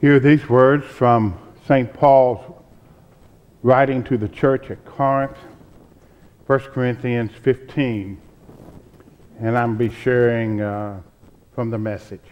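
An older man in his sixties reads scripture aloud as a pastor. His pace is very unhurried and his pitch sits in the middle range. He phrases solemnly and leaves pauses between thoughts. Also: fairly even intonation, highs cut off. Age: 60s. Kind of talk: measured pulpit scripture reading. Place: church sanctuary, at the pulpit.

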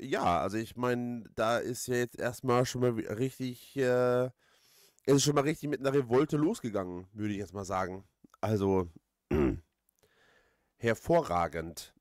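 A man's voice: medium pitch, dry recording, studio quality. A man giving casual let's play commentary as host, rambling hesitantly and repeating his phrases.

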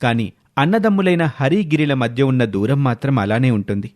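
A man's voice: medium pitch, brisk, a little mellow. A man narrating a scripted moral story as a storyteller.

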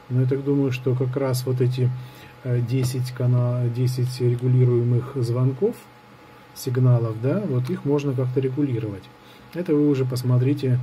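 A man's voice: medium pitch, warm tone. Man reviewing a gadget, noisy home recording. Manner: hesitant, improvised, thinking aloud. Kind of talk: casual hands-on product review. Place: indoors at a table in an apartment. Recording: clear background noise on home recording.

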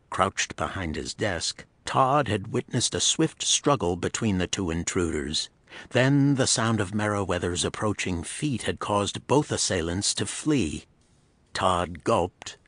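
A man narrating a pulp thriller as scripted storytelling, in a dramatic, measured manner. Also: fairly low pitch, a bit bright, no echo.

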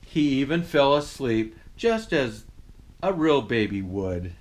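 An older man playing Santa reads a children's story aloud in an expressive, jolly manner. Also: medium pitch, slow. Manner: expressive, jolly delivery with chuckles. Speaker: storyteller dressed as Santa Claus. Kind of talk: animated storybook reading for children.

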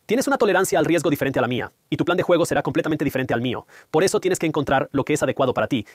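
A man whose first language is Punjabi explains money matters straight to the listener, at an ordinary pitch for a man. He speaks close to the mic, and the sound is dry and dead, with no room echo. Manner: direct, explanatory, addresses viewer. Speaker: finance educator. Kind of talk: whiteboard finance lesson, dubbed voice-over.

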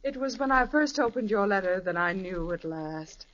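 A woman's voice: mid-range voice, lively intonation, normal speed, a bit bright, lightly acted. A woman playing a film actress reciting a romantic scene theatrically in a 1940s radio drama. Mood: yearning. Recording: muffled 1940s radio recording.

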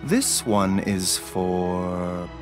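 A man voicing a gentle, courteous male herbal doctor in a video game, speaking in polite, measured, formal phrases. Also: low voice. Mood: calm, courteous. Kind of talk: scripted game dialogue. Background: music.

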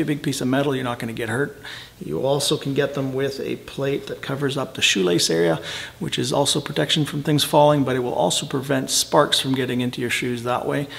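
Man, forties, gravelly voice, mid pitch, folksy instructor, welding shop. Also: fairly bright tone. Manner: plain, practical, folksy explaining. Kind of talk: instructional video. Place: large indoor welding shop.

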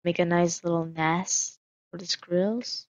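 A woman's voice: lowish voice, fairly even intonation, slow pace, no room sound. A woman casually narrating her solo game roleplay, musing aloud with hesitant fillers.

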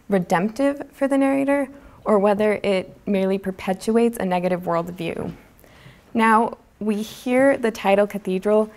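Female, 20s, student presenter. Medium pitch with noticeable vocal fry, earnest and articulate academic delivery from a podium. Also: a little mellow. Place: lecture auditorium stage. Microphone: gooseneck podium microphone.

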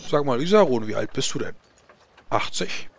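A man's voice: mid-range voice, lively intonation, moderate pace, crowd noise, lightly acted. A man roleplaying a blunt, curious and proud dwarf in a tabletop game, asking naive questions and often repeating himself.

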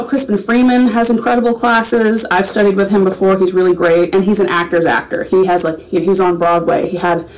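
A woman's voice: medium pitch, rough recording. A woman in her thirties talks casually into a desk webcam from a small room at home, a voice actor fielding fan questions. She rambles from one thought to the next, trips over restarts and laughs lightly in between. Her voice sits in an ordinary middle register. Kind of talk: casual livestream Q&A. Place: small home room with a framed picture behind.

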